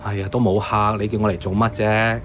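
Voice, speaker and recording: An actor playing a wry, reflective young tea-restaurant worker in a Hong Kong Cantonese radio drama, narrating and chatting colloquially. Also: male voice, fairly low pitch, flat delivery, fairly quick, a little hiss or hum, close to an everyday voice. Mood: casual, wry.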